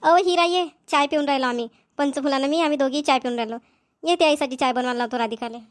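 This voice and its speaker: A woman plays an older cartoon mother-in-law in a village, hospitable and full of talk. Her voice is high and fast, with a Vidarbha Marathi accent. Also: natural voice. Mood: chatty, hospitable. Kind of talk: amateur cartoon voice acting.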